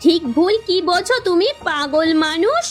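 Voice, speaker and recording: Woman acting a high-pitched, bossy village neighbour. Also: expressive, normal speed, soft background music. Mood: angry scolding. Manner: scolding, haughty. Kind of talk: cartoon voice acting.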